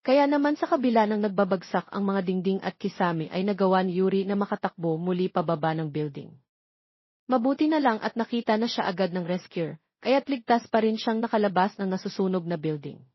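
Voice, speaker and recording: A woman's voice: medium pitch, lively intonation, brisk, slightly rough, no echo. Dry, muffled female story narrator. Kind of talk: scripted romance story narration.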